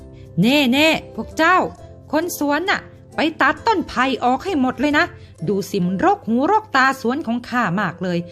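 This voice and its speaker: A pampered young master of a fruit garden, as a woman storyteller puts him on, bossy and quick to exclaim. His voice rides high and swoops between words in a storybook telling. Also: very animated, a bit bright, faint music behind, heavily acted. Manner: haughty, exclaiming, high-pitched. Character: young man, spoiled garden owner's son.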